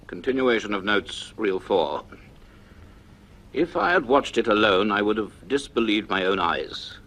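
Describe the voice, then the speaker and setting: An actor playing a grave university professor in a radio drama, speaking in a deliberate, earnest, emphatic way with thoughtful pauses. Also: male voice, on the low side, lively intonation, a bit bright, slight background noise. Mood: grave, awed. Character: grave male university professor recounting a mystery. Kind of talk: radio drama acting, part notes, part dialogue.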